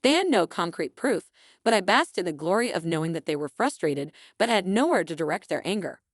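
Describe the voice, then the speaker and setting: A woman reading a scripted first-person story in a dramatic, expressive, theatrical way. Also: fairly low pitch, a little fast, no room sound, studio quality. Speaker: story narrator.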